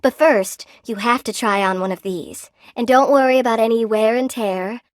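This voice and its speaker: An actress voicing a gentle, lonely spider-woman shopkeeper in a video game, polite and sweetly attentive, with old-fashioned genteel phrasing. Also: fairly high pitch, normal speed, no room sound, clean studio sound, lightly acted. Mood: warm, welcoming. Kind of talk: video game character voice acting.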